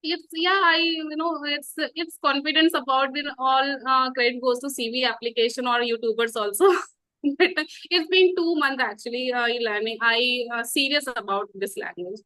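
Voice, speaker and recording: A North Indian woman in her thirties, a native Hindi speaker, chatting in halting, giggly learner's English in an interview. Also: high-pitched, bright, forceful tone, no room sound, poor audio. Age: 30s. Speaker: guest, English-learning YouTuber. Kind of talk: casual conversational interview.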